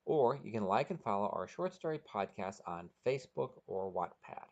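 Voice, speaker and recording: A man's voice: lowish voice, lively intonation, normal speed, vocal fry, a little mellow, lo-fi sound. A man hosting a podcast and narrating a short story from a script.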